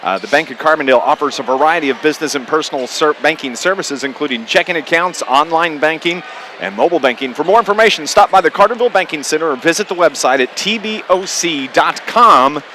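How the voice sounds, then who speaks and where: A man with a high, bright voice hosts a live basketball radio broadcast. He talks in a folksy, conversational way, with faint gym ambience and bouncing balls behind him. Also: expressive, fast, slight background noise. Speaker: play-by-play radio host. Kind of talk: live sports radio pregame chat. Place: corner perch in a school gymnasium.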